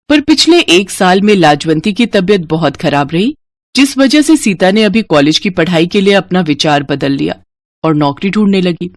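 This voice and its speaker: A woman's voice: mid-range voice, dry recording. A woman reading scripted narration as the narrator of a story.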